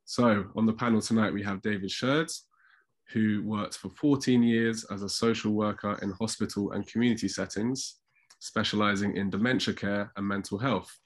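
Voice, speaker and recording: A man's voice: on the low side, steady tone, moderate pace. A man bunged up with a cold, sounding nasal as he chairs an online panel discussion over a video call. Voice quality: nasal, congested. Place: indoors at home on a video call. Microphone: video call microphone.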